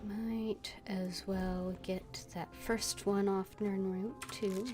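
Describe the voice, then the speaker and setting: A woman streams a fantasy game, reading out her character's part and adding casual remarks as she plays. Faint music runs behind her mid-pitched voice. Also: level tone, takes it slowly. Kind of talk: voicing a game character and muttering casual play commentary.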